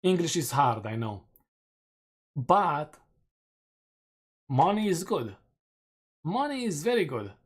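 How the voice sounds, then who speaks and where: A man's voice: a little high, big pitch swings, slow pace, pauses often, breathy edge. A man with a Romanian accent, a streamer casually going over community poll results with self-mocking jokes. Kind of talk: casual chat reading community polls.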